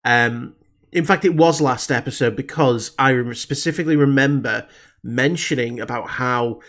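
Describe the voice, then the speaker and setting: A man's voice: medium pitch, moderate pace, fairly bright tone. A young British man with a slight head cold, chatting casually about a show with constant fillers and restarts. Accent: British English. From Britain. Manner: rambling, many fillers and restarts. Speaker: reaction video host. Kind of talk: casual review chat.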